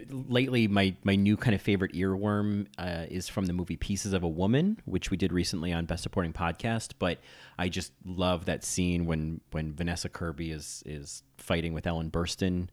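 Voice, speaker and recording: A man in his thirties hosting a solo podcast, chatting in a rambling way with lots of ums and restarts. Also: sits low. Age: 30s. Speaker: podcast host. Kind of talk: casual solo podcast chat.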